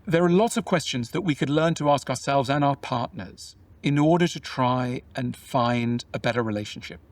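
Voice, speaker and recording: A man talking in a measured, explanatory way about relationships, often posing questions to the listener. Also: mid-range voice, no echo, distant mic. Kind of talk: reflective conversational talk.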